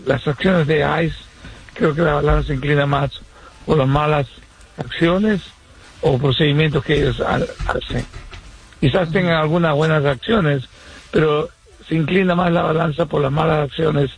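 Caller, man, husky gravelly voice, measured with pauses, radio sound. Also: a little high, low-quality recording. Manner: measured, pausing often. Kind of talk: call-in radio opinion.

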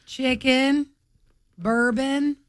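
A woman's voice: high-pitched, steady tone, drawn-out words, dry recording, clean studio sound. A woman, co-hosting morning radio and telling stories with laughter. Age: late 30s. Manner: laughing, storytelling, quoting herself. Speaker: radio morning show co-host. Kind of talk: casual on-air banter.

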